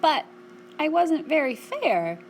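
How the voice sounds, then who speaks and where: A woman's voice: high voice, sing-song, takes it slowly, a little hiss or hum, voice pushed up, heavily acted. A woman voicing a male storybook bear, grumpy then bewildered and sorry, in an earnest exclaiming manner.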